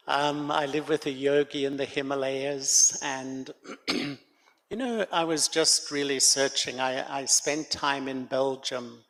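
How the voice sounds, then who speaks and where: An older man, giving a gentle, reflective spiritual talk to a gathering at a meditation center. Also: medium pitch. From Australia. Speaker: guest speaker. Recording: long hall reverb.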